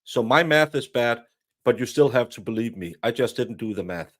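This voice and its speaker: Halting man giving opinions. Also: medium pitch, lively intonation, a bit bright. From Denmark. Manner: halting, repeats words, frequent fillers. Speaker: guest commentator. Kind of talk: casual opinion chat.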